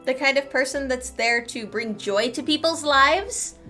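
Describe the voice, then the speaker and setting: A woman's voice: high voice, a little slow, pushed and bright, faint music behind. A woman hosting a game playthrough with casual, playful, snarky commentary. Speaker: gaming channel host.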